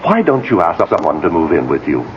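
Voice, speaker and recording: An actor playing the urbane, world-weary ghost of a husband in a 1980s radio mystery drama, with droll, languid phrasing. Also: male voice, sits low, huge pitch swings, fairly quick. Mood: coaxing, sly. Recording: muffled, noisy old radio broadcast recording.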